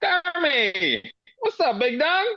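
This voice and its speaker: A man's voice: very high-pitched, big pitch swings, slow, highs cut off, full character voice. A man voicing a brash, wiry, cracked-out man in his thirties at a table read. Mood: delighted.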